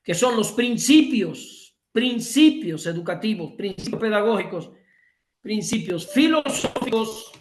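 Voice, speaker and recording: A man's voice: high-pitched, expressive, a little slow, reverberant, slight background noise. A man from Cuba with a Cuban accent, giving an emphatic online lecture over a video call, restating his points and correcting himself often. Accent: Cuban Spanish.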